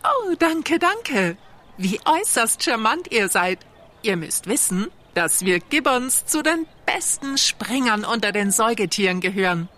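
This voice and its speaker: High-voiced, genteel lady gibbon. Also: very animated, unhurried, pushed and bright, exaggerated voice. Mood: explaining. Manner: effusive, formal politeness. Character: gracious, genteel female gibbon, sweetly polite. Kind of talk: children's audio drama acting.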